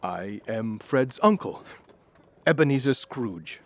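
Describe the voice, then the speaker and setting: An actor playing a reformed old miser in an audio drama, effusive and courtly, generous and humble. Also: male voice, medium pitch, animated, takes it slowly. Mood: gentle. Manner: exclamatory, effusive, old-fashioned courtly speech.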